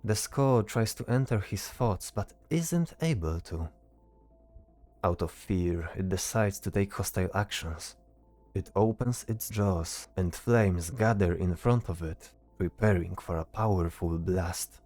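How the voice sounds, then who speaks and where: Deep, slow male fantasy narrator. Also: a little mellow, faint music behind. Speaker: narrator, game master.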